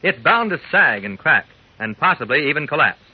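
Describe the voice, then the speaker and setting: Male 1940s radio announcer, earnest and folksy, slightly high husky voice with lively emphasis. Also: moderate pace. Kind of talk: 1940s radio public-service talk to young listeners. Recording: muffled old radio recording.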